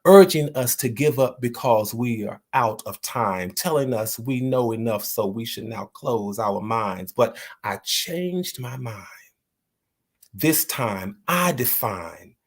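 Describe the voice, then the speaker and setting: A man talking earnestly to his viewers about writing and then reading one of his own poems aloud in a slow, measured way. He encourages his listeners and often repeats words while he searches for the right ones. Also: medium pitch, lively intonation. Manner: slow, measured recital when reading the poem. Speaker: poet and channel host.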